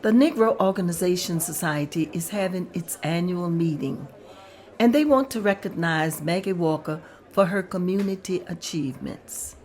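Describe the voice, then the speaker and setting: A woman narrating the stage directions at a table read in a plain, steady way. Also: fairly low pitch, moderate pace.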